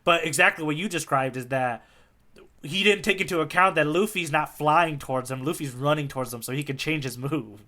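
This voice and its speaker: A man with a very bright, slightly high voice talks as a podcast co-host. He explains things casually and with animation, working through each point conversationally and often checking that he is being followed. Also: brisk.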